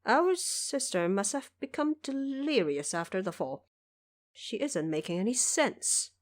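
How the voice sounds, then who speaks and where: A woman voicing a grave, composed male emperor, measured and regal, in an audio drama. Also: medium pitch, big pitch swings, no echo. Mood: dismissive concern.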